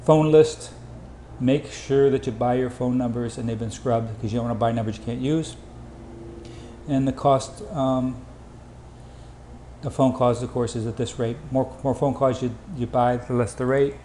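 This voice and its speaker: A man giving an informal sales presentation over slides, with hurried, clipped phrasing. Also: mid-range voice, steady tone, dark tone. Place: indoor room, voice-over for slides. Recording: roomy echo, faint background noise.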